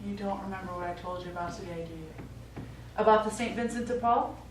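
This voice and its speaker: A woman's voice: medium pitch, a little fast, natural voice. An actress on stage playing the exasperated but caring adult daughter of a forgetful father, speaking in an insistent, pointed way. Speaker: stage actor. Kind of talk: live one-act stage play acting. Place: small theatre stage. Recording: echoey theatre, distant mic, faint noise.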